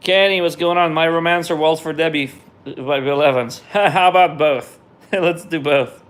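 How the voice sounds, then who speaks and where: A middle-aged man from Israel, whose first language is Russian, casually reads out viewer questions and answers them in a relaxed, conversational way, often trailing off and restarting. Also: fairly high pitch, moderate pace. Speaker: musician hosting a viewer Q&A.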